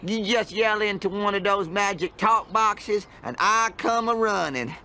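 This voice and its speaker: An actor voicing a cheerful, simple-minded hillbilly farmer in a cartoon game, with a folksy rural Southern American drawl. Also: male voice, high voice, unhurried, bright, forceful tone, a little hiss or hum, exaggerated voice. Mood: folksy, gleeful. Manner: folksy drawl, cackling, whooping. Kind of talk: animated comedy game voice acting.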